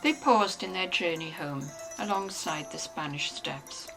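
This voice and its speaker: A woman's voice: fairly low pitch, a little slow, vocal fry, over music, low-quality recording. A woman reading scripted literary narration as the narrator of a dramatized novel.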